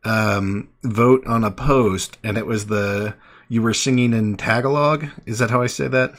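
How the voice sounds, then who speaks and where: A man in his thirties hosting a live online call-in show, relaxed and chatty, with many fillers and restarts. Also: fairly low pitch, unhurried. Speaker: host. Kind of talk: casual live call-in chat.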